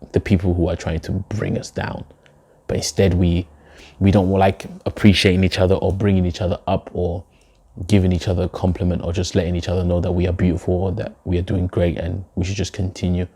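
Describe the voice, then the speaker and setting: A young man from Denmark, a poet, giving reflective, measured interview answers. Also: sits low, warm tone, breathy edge. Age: young adult. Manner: reflective, measured, with filler phrases. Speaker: poet and guest. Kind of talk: spoken word poem, then interview answers.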